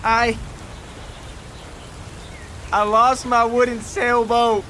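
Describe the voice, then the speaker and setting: A man voicing a timid, desperate young boy who whines and pleads haltingly in an audio story. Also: flat delivery, pushed and bright, slight background noise, full character voice. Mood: ashamed, pleading. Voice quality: high-pitched, whining. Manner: halting, repeating himself.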